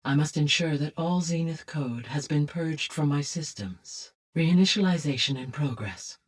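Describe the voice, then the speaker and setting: A woman voicing a calm, courteous, motherly artificial intelligence in a video game, speaking softly with measured, precise, formal diction. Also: deep voice, breathy edge, far from the mic. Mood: calm, explanatory. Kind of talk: scripted video game voice acting.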